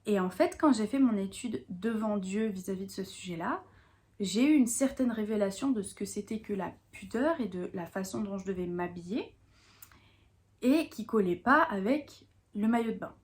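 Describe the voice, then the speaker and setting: A woman's voice: medium pitch, expressive, moderate pace, a little mellow. French woman explaining faith matters earnestly. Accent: French from France. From France. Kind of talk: explanatory faith talk to viewers.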